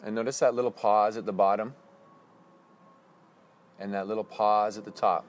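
A man leading a guided breathing meditation with slow, calm, instructive cues. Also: lowish voice.

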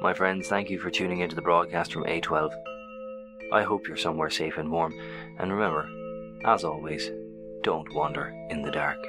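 An actor playing a cautious, worried male professor in a horror audio drama, speaking in a measured, tentative and earnest way. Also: low-pitched, fairly even intonation, loud music behind the voice, close to an everyday voice. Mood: solemn, caring.

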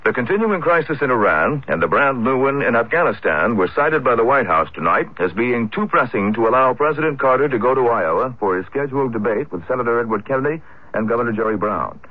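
A man's voice: medium pitch, expressive, fast, dry recording. An American man reading a scripted network radio news bulletin as anchor. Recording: muffled archival radio broadcast.

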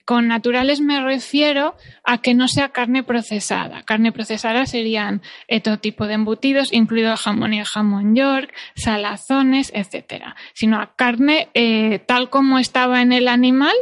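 A woman's voice: a little high, steady tone, normal speed. A woman with an accent from Spain explaining things as an expert podcast guest in a didactic, emphatic, conversational way. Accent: Spanish from Spain. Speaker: dietitian-nutritionist, podcast guest.

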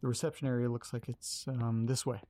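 Awkward nervous young man, hesitant and rambling, mellow voice, played by a man. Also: medium pitch, level tone, a little fast, close to an everyday voice. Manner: hesitant, nervous, repeats himself. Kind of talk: audio drama acting.

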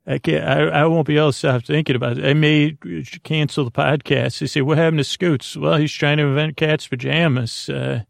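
A man hosting a podcast, talking in a halting, meandering way in a rambling, comedic bedtime monologue with a creaky, gravelly voice. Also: on the high side, fairly even intonation, no echo, clean studio sound. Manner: meandering, halting, absurd tangents told conversationally. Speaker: podcast host.

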